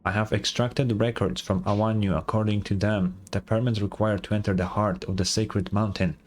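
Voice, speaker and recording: A man's voice: lowish voice, steady tone, moderate pace, a little mellow, slight background noise, close to an everyday voice. A man voicing a courteous, knowing guide who speaks through machines in a game, formal in manner. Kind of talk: reading game dialogue aloud.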